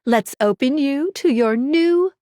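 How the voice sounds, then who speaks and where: A woman voicing a perky, earnest guide character in a video game, chipper, quippy and expressive with an eager, slightly nervous streak. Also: high-pitched, slow, dry recording, studio quality. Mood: chipper, helpful. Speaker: game character guiding the player. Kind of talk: animated video game voice acting.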